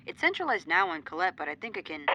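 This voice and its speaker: Woman doing a techy young ghost hunter girl, talks real quick, lowish voice, matter of fact, bit of background noise. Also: very animated, pushed and bright, heavily acted, rough recording. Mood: defensive. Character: brisk, technical young female paranormal investigator. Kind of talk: audio roleplay drama.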